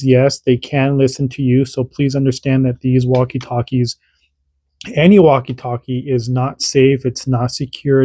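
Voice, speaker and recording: A man's voice: mid-range voice, warm tone, no room sound. A man hosting a casual radio hobby tutorial, chatty and repetitive, doing radio checks as he demonstrates. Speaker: radio hobby channel host.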